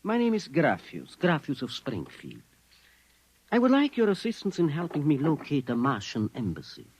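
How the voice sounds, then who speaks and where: A man's voice: on the high side, huge pitch swings, normal speed, darkish tone, breathy edge, dry recording, full character voice. An actor in a 1950s radio drama playing an earnest, formal man with a pedantic, emphatic way of speaking. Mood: formal, serious. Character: earnest, precise, formal man convinced Martians live among us.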